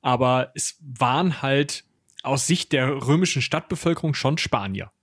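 A man's voice: mid-range voice, measured pace, a bit bright, breathy edge, no echo, clean studio sound. A man discussing history as a podcast co-host in a casual, colloquial way, with slangy turns and joking asides.

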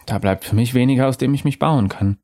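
An elusive female bar ghost, voiced by a male reader, speaking high and soft with earnest insistence, as in a storybook reading. Her tone stays calm and wistful, yet it keeps pressing the listener. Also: fast talker, lightly acted.

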